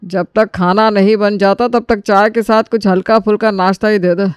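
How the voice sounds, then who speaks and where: A woman's voice: medium pitch, steady tone, moderate pace, clean tone, dry recording, clean studio sound, voice pushed down, close to an everyday voice. A woman voicing an older father-in-law giving matter-of-fact orders in a dramatised family story. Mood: demanding. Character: demanding older father-in-law, a household patriarch.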